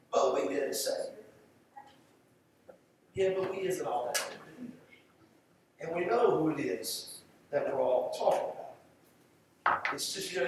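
A man with a Southern US accent preaching a sermon emphatically, repeating phrases and pausing for effect. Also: very high voice. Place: large echoey room, preaching to a congregation. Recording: echoey room, distant mic, faint noise.

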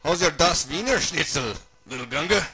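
A man's voice: on the high side, expressive, moderate pace, a loud crowd, voice pushed up, close to an everyday voice. A man voicing a brash, crude older American uncle with an American accent and a folksy, boastful manner. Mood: boisterous.

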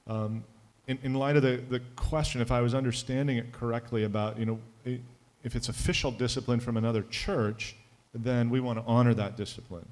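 A man, a pastor, answering a question on a conference panel in a thoughtful, measured way with some halting restarts. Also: mid-range voice, fairly even intonation, a little mellow.

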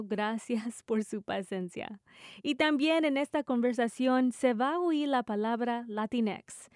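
A woman's voice: on the high side, dry recording, studio quality. A woman from Texas with a Tex-Mex accent, hosting a public radio interview in careful, scripted Spanish. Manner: scripted, careful, apologetic about her Spanish. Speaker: radio host. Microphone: studio microphone.

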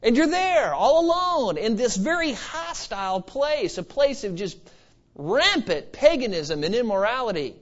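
A slightly muffled, lo-fi recording of a man preaching. His voice sits high for a man. He exhorts emphatically, mixing scripture teaching with conversational asides. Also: sing-song, measured pace. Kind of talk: expository sermon. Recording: slightly dull, lo-fi sermon recording.

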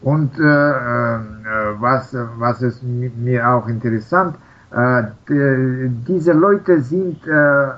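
Slightly muffled lo-fi recording, man, foreign accent, non-native interviewer, halting, searching for words, medium pitch. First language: not German. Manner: halting, searching for words, frequent short acknowledgements. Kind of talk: casual interview conversation. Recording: slightly dull, lo-fi sound.

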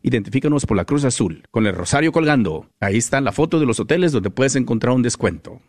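Male radio announcer, brisk ad read, mid-pitched voice, dry studio sound. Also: animated, darkish tone, no echo. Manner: brisk promotional delivery.

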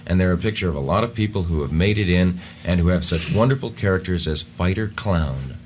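A man on a radio comedy show reading a stilted text aloud in a deadpan, mock-formal way, chuckling now and then. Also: sits low, brisk, slight background noise.

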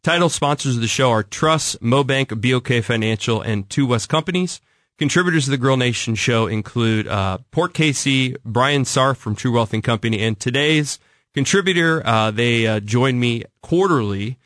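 A man's voice: mid-range voice, expressive, normal speed, fairly bright tone, dry recording, studio quality. An American man hosting an interview show in a conversational style, introducing guests and asking questions. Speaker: talk show host.

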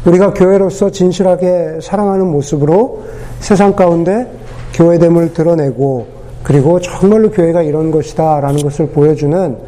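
A man preaching a sermon as pastor, his voice hoarse from a cold, talking unhurriedly with many pauses and fillers. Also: fairly high pitch, mellow, rough recording.

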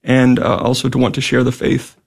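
A man from the Houston area, a guest on a radio interview, speaking in a measured way with some fillers. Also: medium pitch, completely flat delivery, vocal fry. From Houston area, Texas. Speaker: guest, school vice president. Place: radio studio.

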